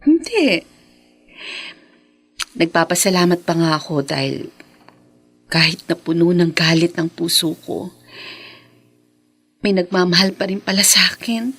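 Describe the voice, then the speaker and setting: Husky filipino widow in a radio soap, earnest n emotional, softened after old anger, faint music behind. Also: female voice, fairly low pitch, lively intonation, a little slow, pauses often, exaggerated voice. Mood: tender, hopeful. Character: reformed vengeful widow, grateful, softened, guarded in love. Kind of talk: Filipino radio drama acting.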